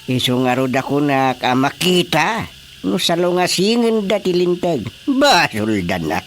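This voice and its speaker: A man's voice: fairly high pitch, sing-song, unhurried, slight background noise. An actor playing a weary, principled older fisherman father in a radio drama, grumbling expressively. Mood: concerned, grumbling.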